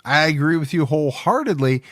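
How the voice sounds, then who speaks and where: A man's voice: on the high side, expressive, fairly quick, fairly bright tone, dry recording, studio quality. A man hosting a true-crime podcast in casual, earnest chat, often repeating words as he stresses a point. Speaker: podcast host.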